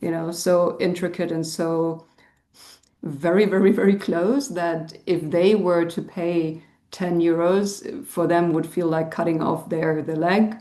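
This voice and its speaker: Woman from Germany, hesitant, lowish voice, echoey room, video call. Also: fairly even intonation, unhurried, warm tone. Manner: hesitant, lots of um, laughs nervously. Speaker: audience member asking a question.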